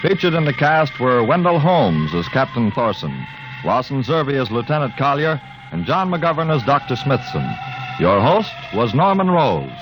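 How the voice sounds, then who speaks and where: Male announcer, crisp and formal, lively delivery over music on an old broadcast. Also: mid-range voice, moderate pace, poor audio.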